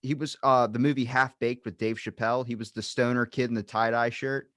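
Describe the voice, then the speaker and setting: A man's voice: medium pitch, steady tone, fairly quick, fairly bright tone, no echo, studio quality. A man hosting a podcast, chatting informally in a rambling way and acting out little quoted asides. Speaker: podcast host. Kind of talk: casual chat.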